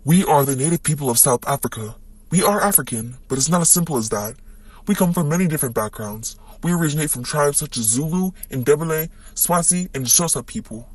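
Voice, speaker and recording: A man's voice: fairly high pitch, lively intonation, brisk. A man voicing an earnest native South African puppet, presenting scripted lines in an amateur puppet show. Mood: earnest, aggrieved.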